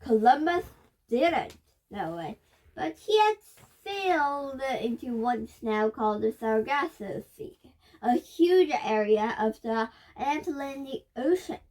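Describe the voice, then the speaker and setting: A female child reads a book aloud in a high voice. She takes it slowly and carefully, word by word, with the odd halting stumble along the way. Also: lively intonation, a little mellow, rough recording. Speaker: reader of a children's nonfiction book.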